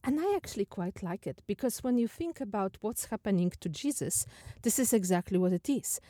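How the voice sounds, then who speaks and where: A woman with a Polish accent giving a thoughtful, questioning talk on faith as a podcast host, sometimes halting over her words. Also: mid-range voice, expressive, dark tone, dry recording, studio quality.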